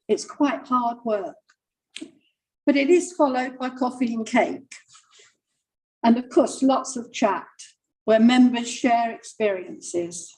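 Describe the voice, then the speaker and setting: A woman volunteer reading a charity's report aloud at a public meeting, projecting clearly. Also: on the high side, a little mellow, poor audio. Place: council meeting room.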